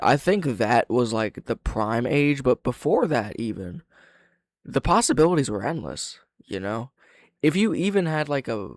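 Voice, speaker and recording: A man's voice: mid-range voice, no echo, clean studio sound. A man hosting a casual reaction commentary, thinking aloud with plenty of slang and swearing. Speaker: reaction video host.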